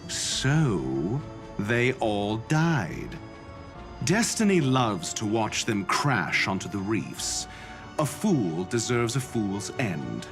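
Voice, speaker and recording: Scornful game scholar, deliberate slow pace, cryptic and stern, clear music underneath. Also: male voice, on the low side, big pitch swings, exaggerated voice. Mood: cold scorn. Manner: haughty, deliberate, aphoristic. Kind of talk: dramatic video game voice acting.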